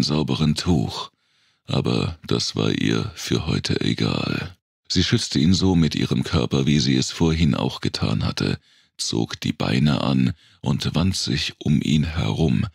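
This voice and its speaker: An extremely low-voiced man narrates a dark thriller audiobook. His voice is husky and full of gravelly fry. He reads scripted prose as a storyteller. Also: no echo, studio quality.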